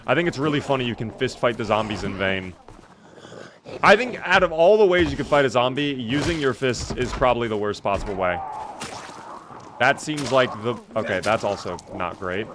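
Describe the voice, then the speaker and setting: A man's voice: on the low side, background noise. A man streaming a game, chatting casually and answering his viewers while he plays; his voice was recently strained. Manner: chatty, reads and answers chat while playing. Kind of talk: casual live gameplay commentary.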